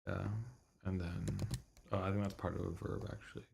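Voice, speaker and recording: A man's voice: deep voice, level tone, fairly quick, creaky, darkish tone, husky. A man doing casual livestream commentary on a visual novel, thinking aloud in a muttering way and swearing casually. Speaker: streamer reading a visual novel.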